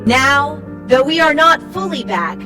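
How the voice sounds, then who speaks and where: A woman reading a scripted church summary in a measured way, as a narrator. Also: high-pitched, animated. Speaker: narrator, church project team member. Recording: music playing behind the voice. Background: music.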